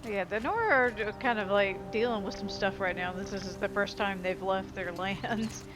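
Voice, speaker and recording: A woman with a mid-pitched voice hosts a let's play. Her commentary is casual, informal and easygoing, with clear game music underneath. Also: moderate pace, poor audio. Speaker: gamer, let's play host.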